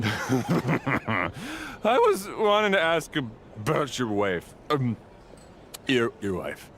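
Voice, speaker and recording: A man voicing an excitable, hesitant tavern patron in a video game, with faint noise behind. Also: medium pitch, very slow, full character voice. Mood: awkward.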